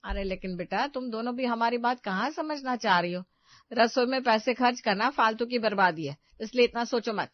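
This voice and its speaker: High-voiced bossy mother-in-law played by a woman. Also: normal speed, dry recording, dull audio, lightly acted. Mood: scolding. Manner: chiding, emphatic. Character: bossy, scolding, thrifty middle-aged mother-in-law. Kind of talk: dramatised family story.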